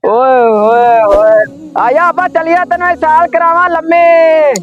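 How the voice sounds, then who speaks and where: A man's voice: extremely high pitch, measured pace, highs cut off. A man playing a scheming, impatient grown son in an amateur moral drama, brash and bossy with a Pakistani Punjabi accent. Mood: falsely cheerful. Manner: brash, repeats short commands.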